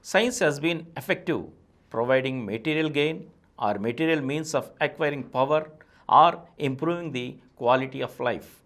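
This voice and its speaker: A middle-aged man with an Indian English accent reads a nonfiction book aloud at a steady, deliberate pace, his eyes on the page. His voice sits in an ordinary mid pitch for a man, close on a lapel mic in a small studio. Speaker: channel host reading a book aloud. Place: small studio with a painted backdrop and plants.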